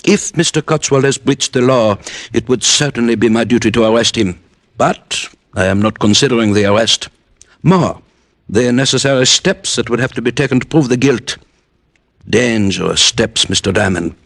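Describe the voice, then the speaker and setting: An actor playing a careful, philosophical police inspector in an old radio drama, speaking formally and deliberately. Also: male voice, medium pitch, a bit bright, no room sound. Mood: cautioning, guarded. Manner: formal, deliberate, veiled warnings.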